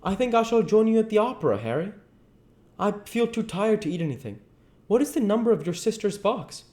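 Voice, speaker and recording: A young storybook gentleman , as a male reader acts him, pitched high and plaintive. He sounds earnest, anxious and world-weary. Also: very animated, a little fast. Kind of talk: storybook dialogue read aloud.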